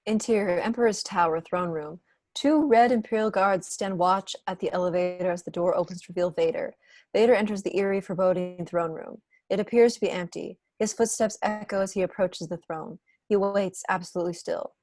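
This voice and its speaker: Female narrator for script table read, slightly low pitch, dry sound, reads scene directions. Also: fairly quick, a little mellow, no room sound. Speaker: narrator reading stage directions. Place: indoor room at home, video call.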